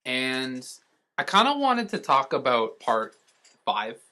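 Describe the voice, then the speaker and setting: A man's voice: mid-range voice, very animated, slow, bright, forceful tone. A man co-hosting a podcast, explaining things in a casual, rambling way with offhand swearing. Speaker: podcast co-host. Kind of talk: casual chat.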